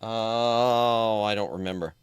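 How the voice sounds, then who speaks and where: A man commentating on a game live for his chat, joking and animated. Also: fairly low pitch, slow pace, clear, resonant tone, no room sound. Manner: jokey, animated, talks to chat. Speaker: streamer.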